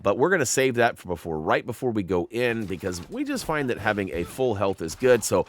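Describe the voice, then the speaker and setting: A man narrating a video game walkthrough in a chatty, upbeat way with playful asides. He sounds a bit stuffy and nasal from irritated sinuses. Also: medium pitch. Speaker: gaming YouTuber.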